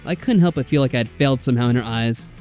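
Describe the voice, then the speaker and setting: A man doing casual let's play commentary, reading game text aloud with animated, mildly sarcastic reactions and some swearing. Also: medium pitch, racing. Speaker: let's player. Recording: music behind the voice.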